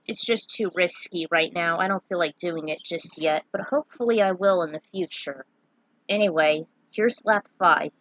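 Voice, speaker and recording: A woman's voice: on the low side, moderate pace, fairly bright tone, poor audio. A woman introducing and narrating her own fan fiction in a theatrical, emphatic way. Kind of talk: dramatic recap and reading of own fan fiction.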